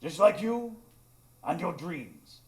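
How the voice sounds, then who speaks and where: An actor playing a loyal doctor who narrates his adventures in a live radio drama, with earnest, measured storytelling. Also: a little high, sing-song. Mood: reflective, grand.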